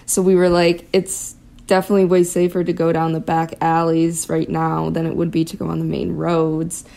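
A woman's voice: low-pitched, completely flat delivery, moderate pace, gravelly, mellow. A young American woman casually recalling an experience, chatty and often saying "like". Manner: chatty, peppered with "like". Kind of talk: casual recollection.

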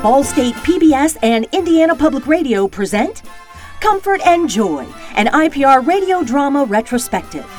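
Woman, announcer, scripted credits read, highish pitch, animated intonation, light music behind. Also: moderate pace.

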